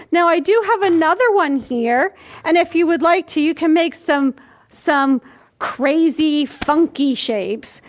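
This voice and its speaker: An older woman with a very high voice leads a storytime for small children. She speaks slowly and in simple steps, demonstrating as she goes. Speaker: children's library storytime host. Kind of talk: instructional talk to young children. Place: indoors, small plain room. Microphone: camera a few feet away.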